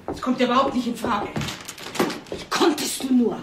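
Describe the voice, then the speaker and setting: An actress playing a haughty, indignant high-society wife with a snobbish manner. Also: female voice, moderate pace, very noisy, close to an everyday voice. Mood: reproachful.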